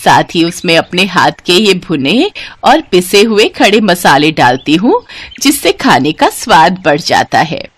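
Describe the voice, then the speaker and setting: A woman's voice: on the low side, big pitch swings, unhurried. Woman playing a proud old village cook. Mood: proud, friendly. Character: poor old woman food-stall cook, proud and shrewd.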